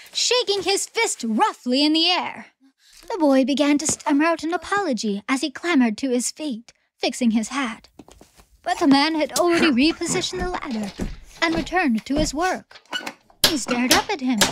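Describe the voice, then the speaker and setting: High-voiced woman narrating a story. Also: animated, normal speed, slight background noise. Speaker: audiobook narrator.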